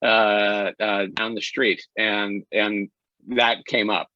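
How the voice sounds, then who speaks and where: A man's voice: fairly low pitch, level tone, dry recording. Man on a dry, slightly muffled mic. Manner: halting, repeating words as he recounts. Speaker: interviewed director, podcast guest. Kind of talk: casual podcast interview. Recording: dry, slightly dull audio.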